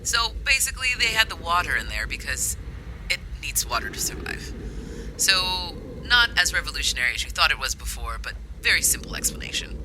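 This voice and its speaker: Woman, improvised role-play banter, lively swinging pitch, faint background noise. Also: brisk. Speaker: tabletop role-play player.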